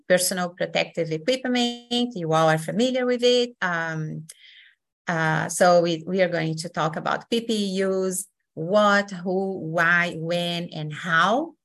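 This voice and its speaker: A woman from Brazil with a Brazilian accent, hosting an online session as facilitator in a friendly, unhurried way with many fillers. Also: lowish voice, a bit bright.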